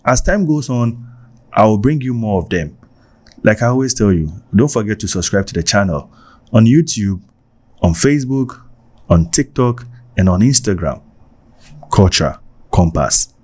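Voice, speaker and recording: A Ghanaian man with a Ghanaian accent, casually telling personal stories for his vlog, animated and repeating himself for emphasis. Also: lowish voice, moderate pace, long pauses. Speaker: vlogger.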